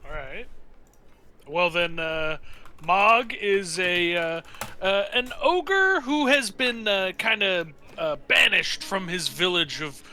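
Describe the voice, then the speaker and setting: A man casually describing his roleplaying character off the cuff, halting and hesitant, with tongue-in-cheek humor. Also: sits high, expressive, sharp tone, slight background noise. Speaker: player in a tabletop roleplaying game.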